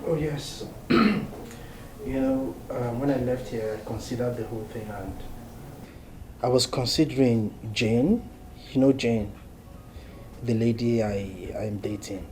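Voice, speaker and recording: Actor as a chatty, warm-toned Nigerian young man. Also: mid-range voice, measured pace, long pauses, slight background noise, lightly acted, rough recording. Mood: hesitant. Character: prince's chatty young male best friend, candid. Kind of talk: naturalistic Nollywood film acting.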